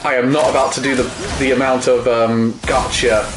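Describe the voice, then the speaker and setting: A man's voice: mid-range voice, flat delivery, normal speed, roomy sound, over music, poor audio. A man in his thirties chatting casually over a video game, rambling, swearing freely and telling jokes. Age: 30s. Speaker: co-host gaming. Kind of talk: casual chat over gameplay.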